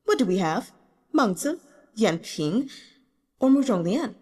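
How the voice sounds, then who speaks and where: Lady doing a bitter young emperor for an audiobook, regal n solemn, real expressive pitch, big echoey hall sound. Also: a little slow. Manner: formal, solemn, speaks in the royal we. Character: embittered, self-mocking young male emperor, regal and burdened. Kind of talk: audiobook character dialogue.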